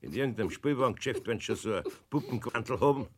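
An older actor with a Bavarian accent playing a gruff but kindly old master carpenter in a 1970s radio play, grumbling and scolding. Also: male voice, mid-range voice, expressive, quick pace. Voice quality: husky and gravelly, grumbling.

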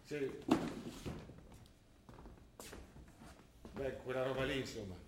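A man's voice: mid-range voice, fairly quick, close to an everyday voice. An actor playing an older, fussy father from the provinces who speaks with a Trentino dialect, in a stage play. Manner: blunt, grumbling, dialect-heavy talk. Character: older provincial father visiting his daughter, gruff and fussy. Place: theatre stage. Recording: echoey stage sound from a distance with faint noise.

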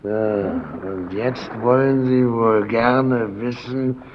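Man acting a slow, taunting bully boss. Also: mid-range voice, steady tone, lightly acted. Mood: needling, menacing. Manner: needling, insinuating, mock-friendly, swinging from shouts to mutters. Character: menacing, taunting male superior bullying a timid underling. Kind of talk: German radio play acting. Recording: dull, noisy old radio play recording.

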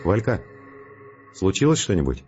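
An actor playing a harried, irritable male scientist with a gruff, sarcastic, slangy way of talking in an audio drama. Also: sits low, big pitch swings, normal speed, a little mellow, muffled recording, faint music behind, full character voice. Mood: puzzled, concerned.

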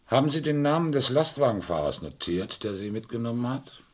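An actor playing a brisk, sceptical male police inspector in a German radio crime play, questioning in a curt, dry, probing way. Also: medium pitch, sing-song, a little mellow, slightly rough. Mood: sceptical, insistent.

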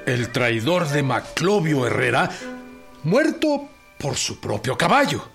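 A man's voice: a little high, sing-song, takes it slowly, creaky, a bit bright, faint music behind, full character voice. An actor playing a grave man who reports the fates of revolutionary generals in a declamatory radio drama style. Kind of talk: scripted radio drama roll call.

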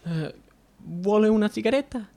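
An actor playing a nervous, wavering judge in a radio play, hesitant and prone to tagging phrases with "eh?". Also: male voice, sits high, expressive, normal speed, gravelly, mellow. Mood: uneasy. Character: nervous, wavering male judge in Mexico, anxiously pragmatic.

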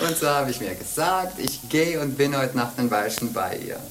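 An older actress playing a sly, jovial old bawd in a radio play, teasing and wheedling in a theatrical way. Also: female voice, very deep voice, lively intonation, normal speed, a little hiss or hum. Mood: confiding, sly. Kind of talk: German radio play acting.

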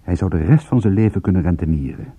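An actor playing a private detective who narrates his case in a radio drama, in measured, dryly amused storytelling. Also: low voice, expressive, dark tone, breathy edge, dry recording, exaggerated voice. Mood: dry, matter-of-fact. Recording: muffled, narrow-band old radio recording.

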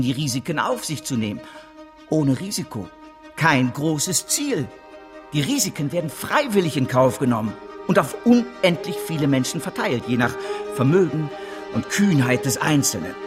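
An actor playing a driven, persuasive financier in a German radio drama, speaking in an emphatic, sweeping, rhetorical way. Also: male voice, mid-range voice, lightly acted. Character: driven, persuasive male financier, fervent gambler at heart.

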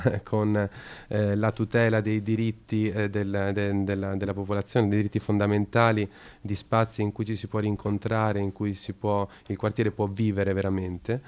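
A man hosting a radio talk, speaking hesitantly with many pauses and filler sounds. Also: lowish voice, a little mellow, clear, resonant tone. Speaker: radio host.

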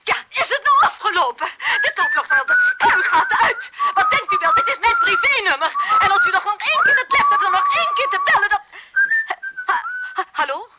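An actress playing an irritable, dismissive woman in a radio play, haughty, impatient and highly strung. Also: wildly swooping pitch, a little fast, exaggerated voice. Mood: shrieking, furious. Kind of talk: Dutch radio play acting. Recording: faint background noise.

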